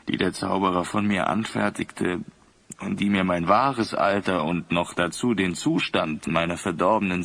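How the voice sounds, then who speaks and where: An actor playing a remorseful ruler turned old man, confessing solemnly in formal words in a fantasy audio play. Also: fairly low pitch.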